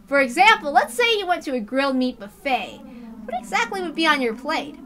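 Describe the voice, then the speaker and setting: Lady doing a teasing schemer boy giving over the top speeches, bright high voice, visual novel read. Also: big pitch swings, a little fast, a little hiss or hum, exaggerated voice. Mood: taunting. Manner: taunting, grandiose, insistent speechmaking.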